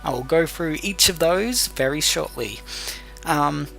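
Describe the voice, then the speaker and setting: A man's voice: a little high, measured pace, gravelly, bright, forceful tone, soft background music. A man giving explanatory video game commentary as a tutorial host, patiently going step by step with some hesitation. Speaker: gamer hosting a let's play tutorial.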